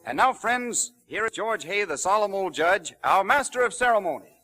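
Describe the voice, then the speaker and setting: A man's voice: sits high, expressive, moderate pace. A man announcing a show in proud, promotional old-time radio style, on a vintage broadcast recording. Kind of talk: 1930s-40s radio announcing. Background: old-time country music.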